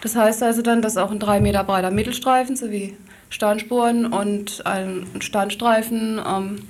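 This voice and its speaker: A woman's voice: mid-range voice, steady tone, normal speed, a little hiss or hum. A woman giving unscripted explanatory answers as an activist interview guest, hesitating as she searches for words.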